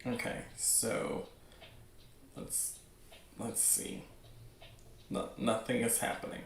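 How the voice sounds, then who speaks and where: A man hosting a show with casual, unscripted commentary, rambling as he thinks out loud. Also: mid-range voice, animated, takes it slowly, vocal fry, slight background noise. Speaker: host of a webcomic news show. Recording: roomy, echoing sound.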